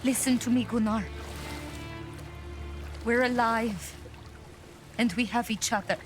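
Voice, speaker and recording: A steadfast young Viking sister in a cinematic game. She speaks slowly, with earnest, pleading care, while music plays clearly behind her. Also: fairly high pitch, fairly even intonation. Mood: pleading, steadying. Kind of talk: cinematic video game acting.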